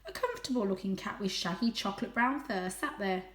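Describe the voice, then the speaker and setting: A woman reading a children's story aloud as expressive narration. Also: medium pitch, brisk, roomy sound.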